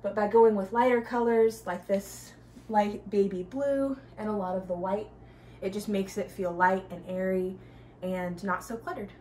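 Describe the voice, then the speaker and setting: A woman's voice: mid-range voice, steady tone, a little slow, dark tone, a little hiss or hum. A young stay-at-home mom, pregnant, giving an upbeat, chatty tour of her small home while walking room to room. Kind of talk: casual home tour vlog. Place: small home with kitchen, nursery and bathroom. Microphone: camera a few steps away. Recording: roomy, slightly distant sound, faint ambience.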